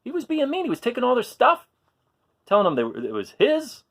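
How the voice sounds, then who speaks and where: A man reading a story aloud to young children, expressively, pausing to ask questions and explain things simply. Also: extremely high pitch, wildly swooping pitch, a bit bright.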